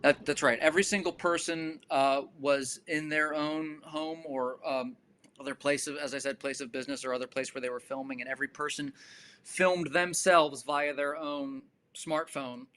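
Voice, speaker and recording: A man speaking as a director in a panel discussion, explaining in a measured, articulate way with thoughtful pauses. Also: on the high side, steady tone, a bit bright, slight background noise. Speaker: stage and film director.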